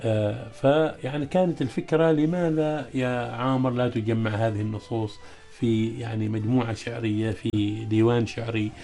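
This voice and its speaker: A male poet interviewed as a guest, speaking hesitantly and then reciting his poems in a slow, chanting cadence. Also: medium pitch, steady tone, warm tone.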